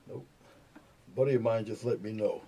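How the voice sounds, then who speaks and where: A man with a very low, gravelly voice mumbles softly as he paints live for his viewers. He does not project, and he is picked up by the camera's own mic at a low volume. A clear hiss runs under everything. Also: moderate pace. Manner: soft-spoken, mumbling asides while working. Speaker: painting livestream host. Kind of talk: casual live painting tutorial. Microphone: camera's built-in mic, not the lapel mic. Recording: noisy, low-volume webcam audio.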